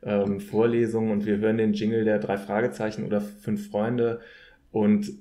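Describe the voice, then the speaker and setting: A man speaking as an academic podcast guest, explaining conversationally in measured, hesitant phrases. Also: lowish voice, level tone, dark tone. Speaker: podcast guest, political scientist.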